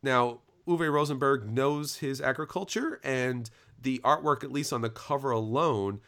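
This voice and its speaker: A man's voice: medium pitch, unhurried, fairly bright tone. A man co-hosting a podcast, talking in a casual, chatty and enthusiastic way with frequent restarts. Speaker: podcast co-host. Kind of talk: casual chat.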